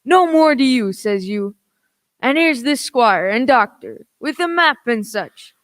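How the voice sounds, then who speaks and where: A wily old pirate sea cook as acted in a radio play. The voice is clear and smooth, full of folksy, cajoling sailor dialect. It is recorded close and dry. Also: mid-range voice, big pitch swings, unhurried, fairly bright tone, no echo. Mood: scheming, forceful.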